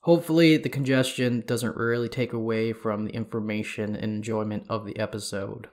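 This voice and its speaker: A man hosting a podcast, sounding congested as he reads lore in a steady, measured way. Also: lowish voice. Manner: steady, measured reading of reference material. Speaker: podcast host. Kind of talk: scripted lore narration.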